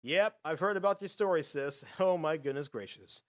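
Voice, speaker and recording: A man's voice: fairly high pitch, normal speed, very noisy. A man hosting a casual video podcast, narrating footage in an upbeat, rambling announcer patter. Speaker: host.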